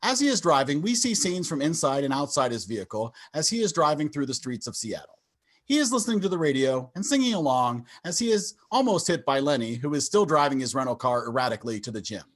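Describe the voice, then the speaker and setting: A man's voice: on the high side, sing-song, quick pace. A man reading scripted stage directions as narrator of a table read over a video call.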